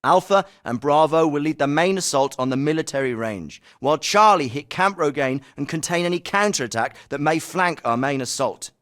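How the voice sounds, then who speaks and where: An actor playing a military commander giving a measured, deliberate battle briefing in a video game. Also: male voice, a little high, lively intonation, a bit bright. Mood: resolute. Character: confident male military commander briefing troops.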